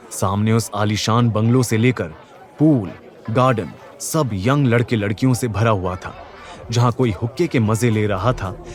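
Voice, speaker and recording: A man's voice: medium pitch, darkish tone. A man narrating a scripted dramatic audio story as its narrator. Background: background music.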